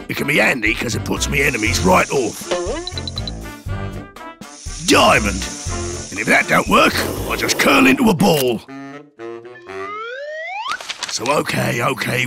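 A cheeky cartoon woodlouse talks in broad Cockney, matey and unrefined. The voice is creaky and pitched high, bouncing around animatedly over background music. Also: male voice. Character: cheeky, uncouth, soft-hearted male woodlouse. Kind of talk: children's cartoon character narration.

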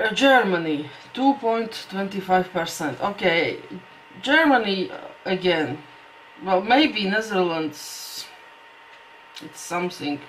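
A middle-aged woman with a Latvian accent talks slowly to her webcam in a small room at home. She thinks aloud and often restarts her sentences. A faint hum of the room sits behind her voice. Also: fairly low pitch, fairly bright tone, poor audio. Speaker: vlogger. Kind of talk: casual talk to camera.

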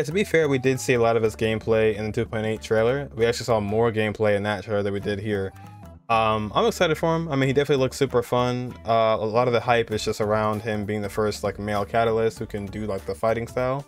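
A man in his twenties giving casual, conversational commentary as the host of a game reaction video. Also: mid-range voice. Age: 20s.

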